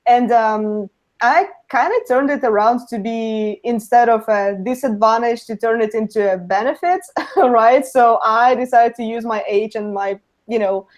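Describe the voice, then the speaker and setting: Brief young woman, native Russian speaker, Russian accent, upper-pitched, casual and giggly. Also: unhurried. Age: young adult. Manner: laughs often, lots of "you know" fillers. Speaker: guest speaker. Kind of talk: casual webinar interview.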